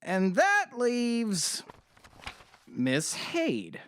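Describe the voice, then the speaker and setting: A man's voice: very high-pitched, huge pitch swings, extremely slow, a bit bright, slight background noise, full character voice. A man playing a formal, polite, slightly hesitant middle-aged defense lawyer in an audio drama.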